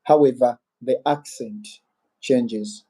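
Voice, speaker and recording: Male live-streamer with a Ugandan accent, slow and rambling, voice in a middle register. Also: medium pitch, mellow, husky. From Western Uganda. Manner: rambling, muses aloud and reads viewer comments. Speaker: YouTuber hosting a live Q&A. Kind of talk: casual live-stream chat.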